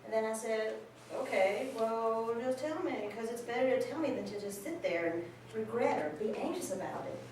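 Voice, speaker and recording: A woman playing a candid, warm-hearted friend in a casual monologue at a staged reading. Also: fairly high pitch, animated, normal speed. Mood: fond, candid. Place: live staged reading in a large room. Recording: very echoey hall, distant mic, faint noise.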